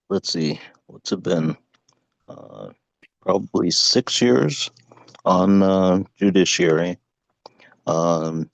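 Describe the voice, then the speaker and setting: A man legislator joining a committee by video call, introducing himself haltingly with many ums. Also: low-pitched, creaky, no room sound, low-quality recording. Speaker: state legislator.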